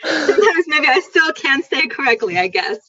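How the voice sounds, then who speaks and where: A woman's voice: high-pitched, very animated, moderate pace, sharp tone. A woman teaching in a casual chat, explaining things through examples. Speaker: English teacher. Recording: dull lo-fi sound.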